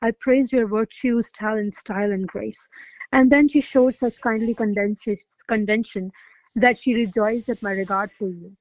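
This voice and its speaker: A woman playing a devoted, excitable young lady-in-waiting in love, reciting effusive romantic verse in a classical radio play. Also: a little high, normal speed, no echo, close to an everyday voice, low-quality recording. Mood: elated, adoring.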